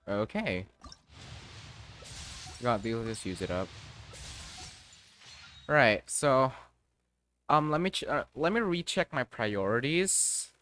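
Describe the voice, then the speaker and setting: A man live-streaming a game, thinking aloud in a casual, halting way with some mild swearing. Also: medium pitch, expressive, fairly bright tone, faint music behind.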